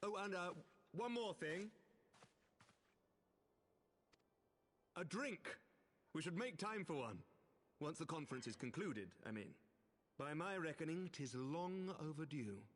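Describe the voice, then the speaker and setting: A man's voice: a little high, lively intonation, normal speed. An actor playing a courteous, earnest male ally in a fantasy video game, with formal, archaic phrasing. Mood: tentative, friendly.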